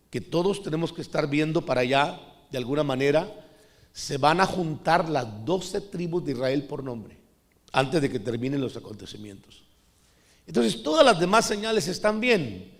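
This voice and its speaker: Man from Costa Rica, middle-aged pastor, conversational sermon, slightly high voice. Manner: conversational preaching, often adds "hermano".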